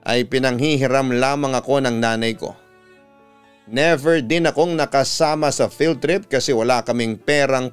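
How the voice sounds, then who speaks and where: A man with a Filipino accent narrating a scripted story as a radio announcer, measured and clearly enunciated. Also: medium pitch. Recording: music bed under the voice. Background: music.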